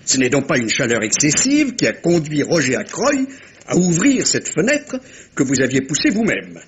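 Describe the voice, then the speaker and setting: An actor playing a self-assured, politely probing little Belgian detective in a theatrical French radio mystery. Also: male voice, fairly high pitch, wildly swooping pitch, moderate pace, a little hiss or hum. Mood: reasoning, emphatic.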